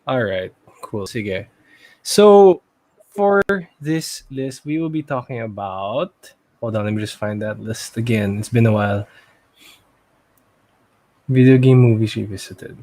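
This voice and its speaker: A man with a Filipino accent co-hosting a casual podcast, talking loosely and thinking aloud with pauses. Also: fairly low pitch, animated, dark tone, low-quality recording. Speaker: podcast co-host. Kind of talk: casual pop culture podcast chat.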